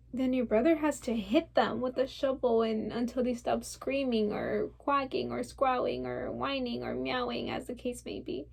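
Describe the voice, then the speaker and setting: Woman acting a defensive, creaky-voiced young woman. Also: fairly high pitch, a little mellow. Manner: insistent, rambling, piling up lists. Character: defensive, wounded young woman, stubborn and intense. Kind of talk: theatrical stage monologue.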